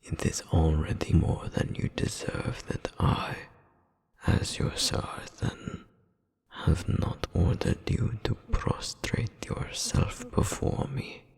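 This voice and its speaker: Man playing a whispering, gravelly, cruel demon noble. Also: low-pitched, wildly swooping pitch, darkish tone, exaggerated voice. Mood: stern menace. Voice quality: breathy, gravelly half-whisper. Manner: slow, haughty, formal, menacing delivery. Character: cold, domineering noble demon older brother, cruel, disdainful. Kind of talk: scripted ASMR roleplay audio drama. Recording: long cavernous reverb.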